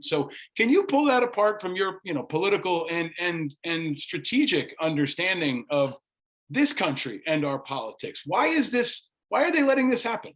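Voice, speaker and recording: A man with a slightly high voice hosts a political interview show. He talks with insistence, building long, probing questions point by point. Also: a bit bright.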